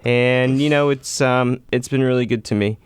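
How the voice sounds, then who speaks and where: A man from Taiwan, a chef and restaurant owner, talking about his restaurants in a relaxed, plain-spoken way as a guest on a radio show. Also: medium pitch, moderate pace, smooth, no echo. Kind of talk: casual interview.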